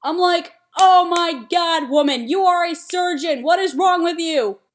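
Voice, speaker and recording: A woman's voice: extremely high pitch, a little slow. A young woman vlogging a casual book review, chatty with lots of fillers and some exasperated asides. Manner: chatty, frequent ums, rising exasperation.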